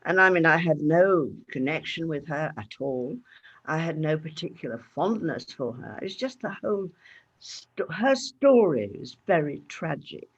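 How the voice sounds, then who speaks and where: A woman's voice: low-pitched, lively intonation, measured pace, breathy edge, highs cut off. An older woman chatting frankly as an interview guest over a video call. She laughs often. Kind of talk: casual interview chat.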